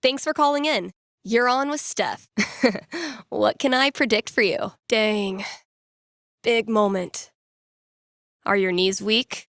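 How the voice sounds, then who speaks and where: A woman's voice: a little high, slow pace, pauses often, fairly bright tone, no room sound, clean studio sound. An actress playing a wry, playful young American woman in a video game, with casual radio DJ patter and a teasing way of talking. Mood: playful, dramatic.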